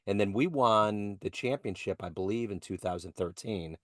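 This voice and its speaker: A man's voice: on the low side, normal speed, no room sound, studio quality. A man hosting an interview podcast, asking questions in a casual, upbeat way. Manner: upbeat, conversational, enthusiastic about local sports. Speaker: podcast host.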